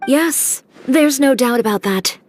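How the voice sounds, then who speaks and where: Brisk, higher-pitched, no-nonsense designer. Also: female voice, slight background noise. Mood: firm. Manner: direct, composed, no-nonsense. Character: brisk, blunt, self-assured young female fashion designer. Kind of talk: video game voice acting.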